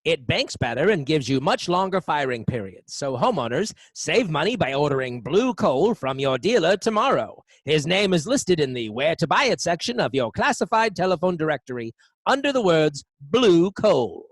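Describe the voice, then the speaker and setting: An actor playing an earnest, polished 1930s radio pitchman delivering an emphatic advertisement. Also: very animated, normal speed, dry recording. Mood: salesmanlike. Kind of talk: old-time radio advertisement.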